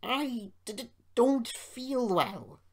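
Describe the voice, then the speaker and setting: A woman's voice: on the high side, expressive, drawn-out words, voice pushed up, full character voice. A woman voicing a pompous, indignant, grumbling old male steam engine in a children's storybook. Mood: quavering.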